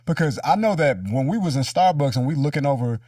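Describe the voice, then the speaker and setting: A man's voice: fairly high pitch, lively intonation, fast talker, mellow, no echo, clean studio sound, distant mic. A man hosting a casual, reflective conversational interview in informal language. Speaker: interviewer, show host.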